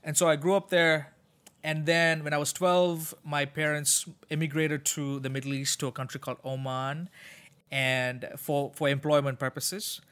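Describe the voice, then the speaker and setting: A man's voice: on the high side, level tone, normal speed, fairly bright tone, smooth. A man born in Sri Lanka, a pastor, answering interview questions in a thoughtful way, often restarting his sentences. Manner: thoughtful, with frequent restarts and fillers. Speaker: pastor, podcast guest.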